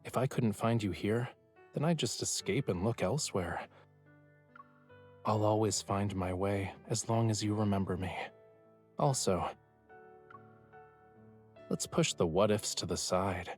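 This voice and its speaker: Man acting as a low-voiced, teasing young lover. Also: measured pace, long pauses, close to an everyday voice. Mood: earnest. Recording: music behind the voice.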